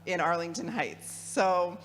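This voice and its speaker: A woman's voice: lowish voice, slow. A woman in her thirties from Michigan with a Midwestern American accent, introducing herself to an audience as guest speaker, chatty and upbeat, on a handheld mic in a large church hall. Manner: chatty and upbeat, with fillers and laughs. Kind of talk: casual personal introduction to an audience. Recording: long church reverb.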